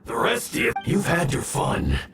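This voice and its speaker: An actor playing a smug, theatrical male game villain who gloats and whines in a melodramatic, drawn-out way. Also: huge pitch swings, roomy sound, far from the mic, music in the background. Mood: smug gloating. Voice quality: gravelly, high-pitched. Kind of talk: video game villain acting.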